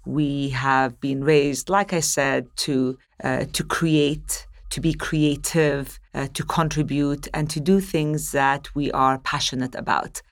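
A middle-aged woman from the Gulf speaks as an interview guest, explaining her own story in measured, articulate phrases. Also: sits low, steady tone, no echo, clean studio sound.

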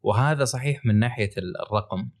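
A man's voice: mid-range voice, quick pace. A man with a Saudi Gulf accent co-hosting a health podcast, talking in an explanatory, conversational way. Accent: Gulf Arabic, Saudi. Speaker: podcast co-host, writer and wellness coach.

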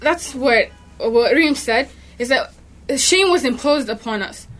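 A woman's voice: high-pitched, expressive, unhurried, a bit bright, faint music behind. A young woman, a podcast guest, speaking in an earnest, firm way. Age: young adult. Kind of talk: casual chat.

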